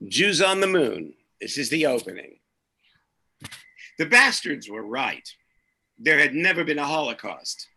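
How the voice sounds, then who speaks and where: Bright-voiced man, slightly high. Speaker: author narrating his own play reading. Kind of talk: chat, then dramatic reading of comic fiction.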